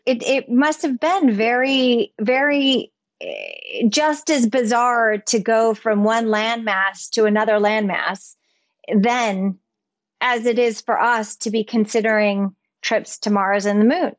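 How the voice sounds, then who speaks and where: Higher-pitched woman, casual podcast guest. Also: slow pace, dry recording. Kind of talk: casual reflective conversation.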